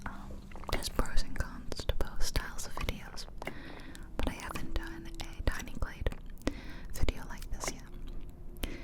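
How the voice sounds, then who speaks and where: A woman speaking in a soft, breathy ASMR whisper close to the mic from ear to ear, rambling casually and gently over game footage. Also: measured pace, poor audio. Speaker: ASMR video creator. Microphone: close ear-to-ear stereo microphone.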